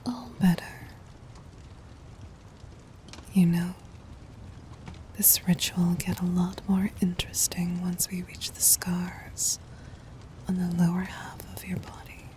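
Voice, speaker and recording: Slow, whispering, doting demon queen, acted by a woman. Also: lowish voice, monotone, lightly acted. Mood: teasing, affectionate. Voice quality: soft, breathy whisper. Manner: tender, slow, intimate, formal wording. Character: gentle, doting, teasing demon queen, adult female. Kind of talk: whispered ASMR roleplay.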